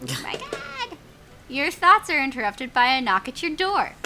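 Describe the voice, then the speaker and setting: A woman's voice: high-pitched, very animated, moderate pace. A woman co-hosting a casual let's play, reading a story game aloud expressively and adding amused asides.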